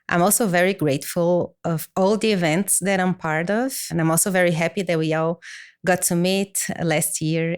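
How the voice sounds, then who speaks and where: A woman's voice: on the low side, darkish tone. A woman from Brazil with a Brazilian Portuguese accent, a native Portuguese speaker, talking with enthusiasm and gratitude as a podcast guest. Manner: enthusiastic and grateful. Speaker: guest designer. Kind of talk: podcast interview.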